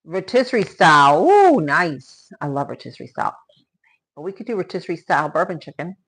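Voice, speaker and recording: Lower-pitched woman chatting while cooking. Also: big pitch swings, a little fast, a bit bright, husky. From born in Spain to Cuban parents, raised in Florida from age ten. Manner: chatty, exclaiming, thanking viewers as she works. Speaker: live stream host cooking at home. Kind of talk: casual chat with live viewers. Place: home kitchen.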